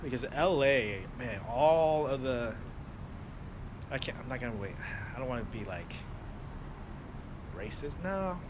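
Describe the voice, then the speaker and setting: A man's voice: mid-range voice, lively intonation, measured pace, long pauses. A young American man, a delivery driver, chatting loosely with livestream viewers, full of fillers and restarts. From United States. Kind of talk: casual livestream chat answering viewers. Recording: noisy outdoor street background. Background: traffic and street noise.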